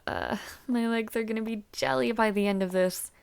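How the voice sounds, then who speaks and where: A woman's voice: on the high side, normal speed, vocal fry, smooth. A young woman playing a chatty, over-prepared university student in a roleplay audio drama, talking casually and a little hesitantly. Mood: winded, complaining. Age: young adult.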